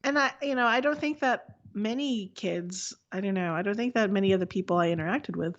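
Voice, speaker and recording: A woman from the Texas Panhandle co-hosting a casual chat, blunt and quick with asides. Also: mid-range voice, highs cut off. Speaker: podcast co-host.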